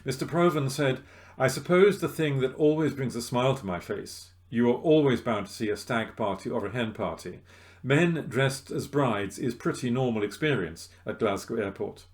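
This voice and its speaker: Guy reading out news stories from a paper, mid-pitched voice, volunteer reader at home. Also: moderate pace. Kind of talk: read-aloud news articles.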